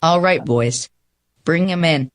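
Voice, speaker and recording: An actor playing a confident, theatrical defense lawyer, formal and emphatic, in a radio courtroom drama. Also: male voice, a little high, slow. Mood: triumphant. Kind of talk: radio play courtroom acting.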